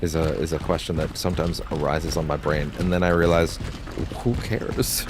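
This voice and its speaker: Low-voiced rambling gaming host. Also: male voice, normal speed. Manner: rambling, many restarts, swears freely. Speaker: let's play host. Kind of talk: casual gameplay commentary. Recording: steady background noise.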